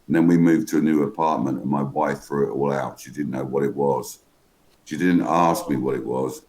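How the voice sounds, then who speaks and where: Gruff man, fifties, extremely deep voice, loose rambling storyteller on a group call. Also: steady tone, normal speed, darkish tone, a little hiss or hum. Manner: rambling, self-interrupting storyteller. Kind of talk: casual group chat. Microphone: online call.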